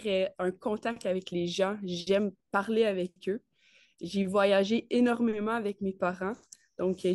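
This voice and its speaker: A woman's voice: mid-range voice, darkish tone, no echo. Young Quebec French woman, dry close sound. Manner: colloquial, enthusiastic. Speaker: interview guest, network marketer. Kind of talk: casual chat.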